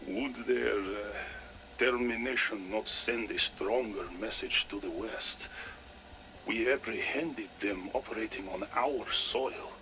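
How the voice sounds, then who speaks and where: An actor playing a dutiful Soviet military subordinate, speaking deferentially and hesitantly in a game audio log. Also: male voice, lowish voice, takes it slowly. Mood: deferential, earnest. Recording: noisy radio-style audio log.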